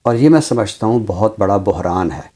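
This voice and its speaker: A man speaking as a teacher, explaining his views deliberately with emphatic repetition. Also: fairly low pitch, animated, dark tone. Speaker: Urdu teacher, programme guest. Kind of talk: considered discussion, explanatory.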